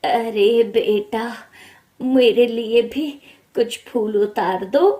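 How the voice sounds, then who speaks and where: A kindly, frail old holy man from a storybook, voiced by a woman. He speaks very slowly and softly, with a gentle manner. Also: a little high. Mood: weary, pleading. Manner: slow, gentle, soft-spoken.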